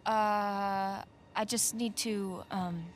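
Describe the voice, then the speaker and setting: A woman's voice: mid-range voice, steady tone, drawn-out words, faint music behind. A woman playing an inquisitive, evasive teenage daughter in a naturalistic video game cutscene, speaking casually and hesitantly.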